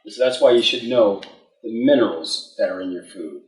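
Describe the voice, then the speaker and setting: Echoey far-off man leading a class. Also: on the low side. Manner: halting, repeats words. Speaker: lecture leader. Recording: echoey room, mic far off.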